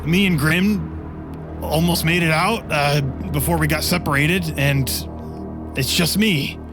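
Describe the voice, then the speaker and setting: A fairly high-pitched, shaken soldier scout, played by a man in a fantasy war roleplay. He reports bluntly and haltingly, with loud music underneath. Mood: shaken, urgent. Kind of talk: improvised tabletop roleplay.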